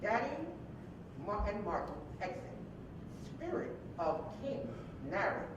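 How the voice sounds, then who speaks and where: Distant high-pitched man reading stage directions. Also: very animated, takes it slowly, muffled recording. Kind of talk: scripted narration. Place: live stage in a hall. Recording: distant, very echoey hall, rumbling noise.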